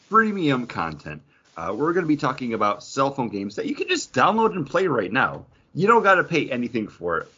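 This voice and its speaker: A man's voice: mid-range voice, pushed and bright, slightly rough, dull audio. A man hosting a casual podcast, chatting in an enthusiastic, conversational way. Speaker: podcast host.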